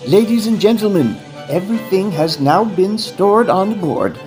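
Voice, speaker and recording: A woman's voice: mid-range voice, expressive, measured pace, close to an everyday voice. A woman playing a showy opera company manager in a period farce, making grand, formal announcements. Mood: brisk, formal. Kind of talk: period audio drama farce. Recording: music behind the voice.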